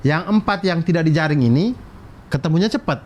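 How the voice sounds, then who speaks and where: A man's voice: on the high side, expressive, a little fast, a little hiss or hum. A man hosting a casual interview, asking curious, short follow-up questions. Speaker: host.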